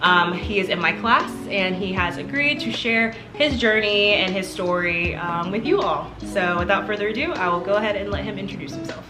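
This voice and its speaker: A woman's voice: mid-range voice, normal speed, hardly any pauses, roomy sound, music in the background. A young woman, a medical student, hosting an interview in an upbeat way. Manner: upbeat, friendly YouTube host. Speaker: host.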